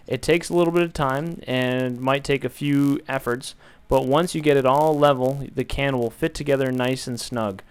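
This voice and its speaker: Young guy doing a calm how-to voiceover, clean smooth voice, mid pitch. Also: normal speed, hardly any pauses. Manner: calm step-by-step instructions. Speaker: DIY tutorial maker. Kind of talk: instructional voice-over.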